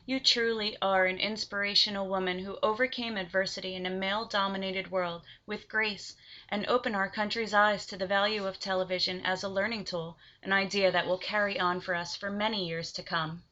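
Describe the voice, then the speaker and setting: A woman's voice: medium pitch, fairly even intonation, fairly quick, sharp tone, dull audio. A woman acting as interviewer, reading formal, admiring questions in a scripted interview.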